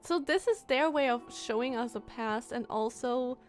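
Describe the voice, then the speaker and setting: A woman's voice: fairly high pitch, normal speed, faint music behind. A woman streaming a game with casual, enthusiastic and reactive commentary.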